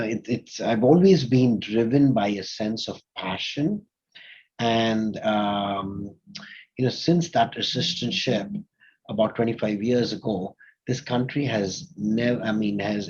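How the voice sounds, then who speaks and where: A man's voice: fairly low pitch, a little mellow, breathy edge, muffled recording. A man in his forties from India, a company CEO, talking in a casual interview with many fillers and restarts. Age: 40s.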